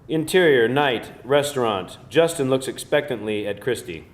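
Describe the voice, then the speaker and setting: A man's voice: medium pitch, expressive, normal speed. A man narrating screenplay stage directions in a scripted reading style at a live table read.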